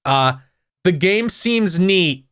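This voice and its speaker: A man co-hosting a casual gaming podcast, talking in a rambling way with frequent restarts and quick asides. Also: sits high, expressive, fairly bright tone, smooth, no room sound, studio quality. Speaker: podcast co-host.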